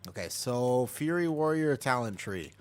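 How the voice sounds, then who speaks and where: A man's voice: medium pitch, expressive, unhurried. An American man streaming a game, chatting casually and rambling as he answers chat and laughs easily. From United States.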